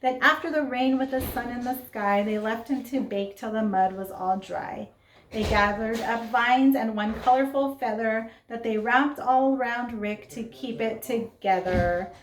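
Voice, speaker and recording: A woman reading a children's story aloud in an expressive, dramatic way, with asides and questions to young listeners. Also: a little high, measured pace, slight background noise. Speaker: storybook reader. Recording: roomy, echoing sound.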